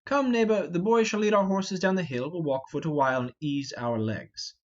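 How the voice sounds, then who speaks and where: A man's voice: fairly high pitch, moderate pace, highs cut off, lightly acted. A man voicing a timid, easily alarmed traveller in an amateur reading of a Shakespeare play. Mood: weary.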